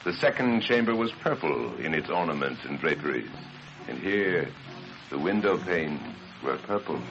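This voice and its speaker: A deep male storyteller delivers gothic horror in a deliberate, ominous manner. His theatrical phrasing belongs to old-time radio drama. The recording is dull and vintage, with music playing loudly underneath. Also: expressive. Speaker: narrator of a horror radio play. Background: loud dramatic music.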